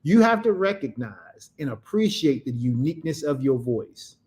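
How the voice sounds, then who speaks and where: Emphatic preacher man, fairly high voice. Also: very animated, moderate pace, darkish tone. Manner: emphatic, repetitive, asks and answers own questions. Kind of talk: motivational sermon.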